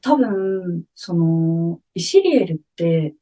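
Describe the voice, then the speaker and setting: A woman's voice: fairly low pitch, lively intonation, a little slow, dark tone. A female guest vocalist answering interview questions hesitantly, thinking aloud. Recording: voice distant from the mic.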